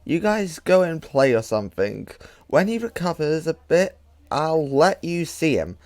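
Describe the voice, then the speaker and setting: A woman voicing a calm, protective animatronic caretaker in an amateur comic dub, speaking in a measured, soothing way. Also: low-pitched, dry recording. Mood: reassuring.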